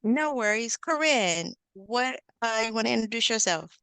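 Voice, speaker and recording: A woman hosts a video-call session in an unhurried, encouraging way. Her voice is bright and crisp with a gravelly edge, and the sound is dry and close. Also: a little high, animated, no echo. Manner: upbeat, encouraging, leans on filler words. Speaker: host and facilitator. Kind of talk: hosting an online info session.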